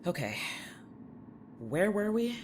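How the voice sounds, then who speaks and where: A man's voice: high voice, big pitch swings, slow pace, noisy background, full character voice. An actor playing a wary, irritated man, blunt and skeptical, in an audio drama. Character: wary, irritated man held by a strange therapist.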